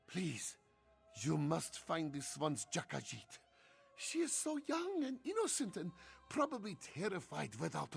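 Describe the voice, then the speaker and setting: A woman's voice: medium pitch, creaky, breathy edge, exaggerated voice. A woman voicing a doting, anxious Khajiit cat-person father in a fantasy game roleplay, crooning and speaking of himself in the third person. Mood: worried, pleading.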